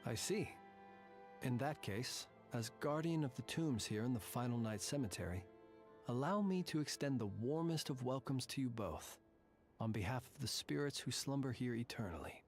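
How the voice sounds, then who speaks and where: An actor playing a solemn, formal and courteous guardian of haunted tombs in a video game. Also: male voice, mid-range voice, expressive, soft background music, close to an everyday voice. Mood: solemn welcome. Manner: formal, measured, ornate courteous speech. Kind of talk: video game character dialogue.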